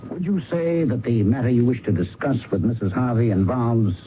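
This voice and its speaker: A man's voice: mid-range voice, expressive, dull audio, lightly acted. Firm, persistent investigator on noisy old radio, acted by a man. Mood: insistent, formal. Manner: deliberate, probing, polite but insistent. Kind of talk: radio mystery drama acting and narration.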